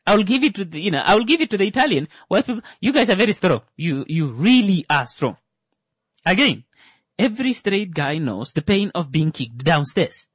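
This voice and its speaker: A man's voice: high voice, sing-song, normal speed, husky, dry recording. A man hosting a radio talk show, joking and rambling with many fillers. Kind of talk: comedic monologue.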